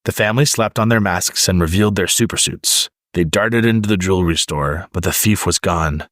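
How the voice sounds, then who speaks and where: A man's voice: sits low, fairly quick, fairly bright tone, no room sound, studio quality. A man narrating a children's storybook as a read-aloud. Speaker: storybook reader.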